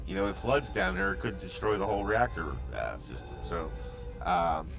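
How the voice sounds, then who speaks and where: A man's voice: sits low, big pitch swings, normal speed, creaky, music in the background. A man running a tabletop game as game master, narrating and explaining in a rambling way full of fillers. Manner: rambling, thinking aloud, many fillers.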